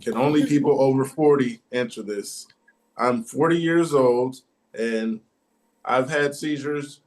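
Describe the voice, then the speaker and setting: A man's voice: mid-range voice, unhurried, poor audio. A man in his thirties in a casual group chat, asking questions in a conversational way. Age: 30s.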